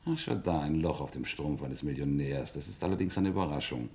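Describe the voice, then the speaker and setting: An actor playing a grandiloquent, cynical schemer in a radio crime play, speaking in a theatrical, rhetorical way. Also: male voice, extremely low pitch, animated, brisk, a little mellow, breathy edge, full character voice. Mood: pompous, musing. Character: smooth, grandiloquent male schemer, cynical con man.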